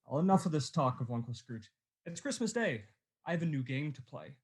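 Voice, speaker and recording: Good-natured young nephew, lightly high voice, jovial, earnest, radio drama. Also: male voice, very animated, a little fast, breathy edge, heavily acted. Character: cheerful, kindly, good-humored nephew. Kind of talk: radio play acting.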